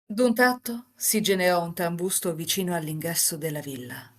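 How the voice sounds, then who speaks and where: A woman reading as a composed, enigmatic housemaid who narrates a visual novel tale in formal, measured sentences. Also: fairly low pitch, slight background noise, close to an everyday voice.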